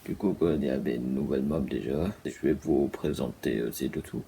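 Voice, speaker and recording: A man with an extremely deep voice and heavy vocal fry narrates haltingly. His sentences break off and restart around frequent fillers. A faint noise sits behind him. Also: dark tone. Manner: hesitant, full of fillers and broken sentences. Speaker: vlogger. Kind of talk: casual video narration.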